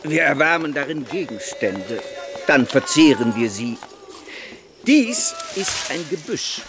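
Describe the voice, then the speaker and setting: An actor playing an eccentric, lecherous missionary preacher in a radio play, rambling and fawning with self-satisfied rhetorical questions. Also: male voice, a little high, very animated, slow, noisy background, heavily acted. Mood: showing around, simple.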